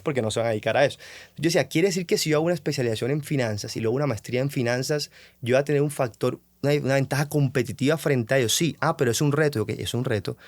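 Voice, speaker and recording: A man's voice: medium pitch, rapid-fire, no room sound. A young man, hosting a motivational podcast and telling his own story, acting out the questions he once asked himself. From Colombia. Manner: animated, acts out his own past self-questioning. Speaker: podcast host.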